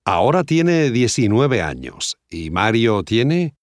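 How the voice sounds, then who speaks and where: A man acting a friendly conversational part in a scripted language-course dialogue, enunciating clearly. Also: medium pitch, big pitch swings, slow pace, no room sound, studio quality.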